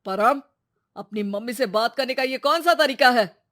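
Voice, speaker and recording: A woman voicing a stern, authoritative father in a family story, loudly scolding. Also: fairly high pitch, normal speed, pitched above the performer's own voice, natural voice. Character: stern father reprimanding his son, authoritative.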